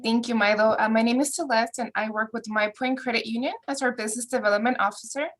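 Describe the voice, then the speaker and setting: A woman with a slightly high voice gives a polite, hesitant pitch for her credit union. Her delivery is courteous and gently halting. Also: fairly even intonation. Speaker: business development officer. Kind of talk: short networking pitch.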